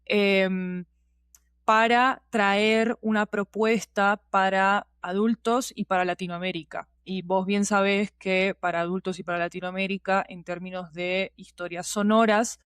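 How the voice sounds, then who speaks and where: A woman's voice: mid-range voice, steady tone, measured pace, fairly bright tone, clean tone, dry recording. A woman talking as an interview guest, explaining in a conversational storytelling way and checking that the listener follows. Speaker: podcast producer, interview guest.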